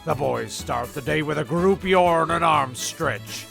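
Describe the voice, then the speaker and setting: A man's voice: a little high, a little slow, gravelly, fairly bright tone, soft background music. A man narrating a comic story as host, mock-dramatic and rambling.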